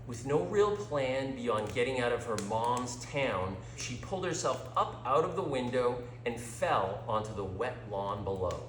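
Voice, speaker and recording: A male storyteller narrates a scripted tale in an unhurried, theatrical way. His pitch is medium and swings widely as he reads. The sound is roomy, with clear echo around the voice. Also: a bit bright, a little hiss or hum. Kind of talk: scripted story narration, dramatic read-aloud.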